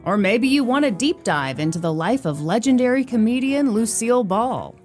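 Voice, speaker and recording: A woman's voice: on the high side, animated, normal speed, darkish tone, faint music behind. A woman hosting a podcast, reading a scripted promotional spot.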